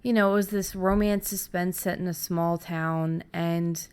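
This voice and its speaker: A middle-aged woman host talking casually and candidly about her own experience, haltingly, as if thinking aloud. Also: on the low side, steady tone, a little mellow, smooth. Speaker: podcast host and fiction writer. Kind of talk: casual conversational storytelling.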